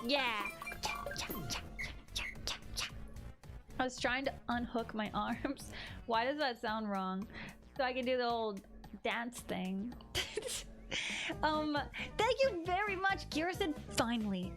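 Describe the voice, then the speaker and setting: A young woman streaming her drawing, chatting bubbly and giggly with viewers, a bit hoarse from losing her voice. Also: on the high side, animated, a little slow, pauses often, fairly bright tone. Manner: bubbly, giggly, playful sound effects. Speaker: art streamer. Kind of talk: casual chat reading viewer messages. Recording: music playing underneath. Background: background music.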